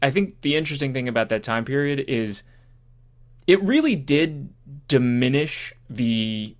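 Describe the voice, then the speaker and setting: A man's voice: medium pitch, expressive, measured pace. A man co-hosting a podcast, chatting casually about films in a rambling, conversational way. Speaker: podcast co-host. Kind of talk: casual film review chat.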